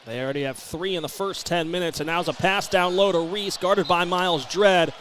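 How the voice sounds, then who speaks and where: An American man doing brisk, descriptive live basketball play-by-play that can rise to excited calls. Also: high voice. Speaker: play-by-play sports announcer. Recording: live arena broadcast, background ambient noise. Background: basketball game ambience.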